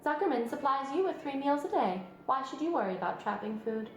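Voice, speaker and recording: Woman playing a storybook spider, female, clever, patient, precise, assured, raised lively pitch, roomy recording. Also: brisk, slight background noise. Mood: kindly, frank. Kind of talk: children's storybook read-aloud. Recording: roomy sound, music behind.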